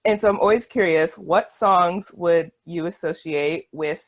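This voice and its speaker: Enthusiastic woman interviewer, lower voice. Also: measured pace. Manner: enthusiastic, says "like" often, laughs. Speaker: interviewer, book reviewer. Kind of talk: casual video-call interview.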